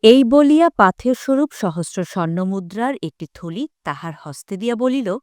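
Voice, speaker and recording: A woman narrating a literary story for an audio drama in a formal, measured way. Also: medium pitch, expressive, darkish tone, no room sound, studio quality.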